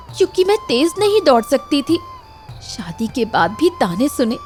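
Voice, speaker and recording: Cartoon village wife, woman performer: high-pitched, sincere, emotional, vulnerable but hopeful. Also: a little mellow. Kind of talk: cartoon moral story voice acting.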